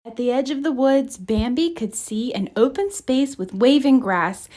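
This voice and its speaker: A woman narrates a children's storybook in a fairly high voice. She reads very slowly and deliberately, giving each phrase expressive shape. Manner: expressive, deliberate read-aloud. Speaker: storybook reader.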